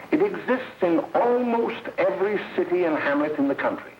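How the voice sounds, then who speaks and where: An American man acting in a 1930s film as a stern, alarmist school official, addressing parents in grave, emphatic, formal oratory. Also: fairly high pitch. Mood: grave, exhorting. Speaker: speaker addressing a parents' meeting. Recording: dull, noisy 1930s film soundtrack, roomy echo.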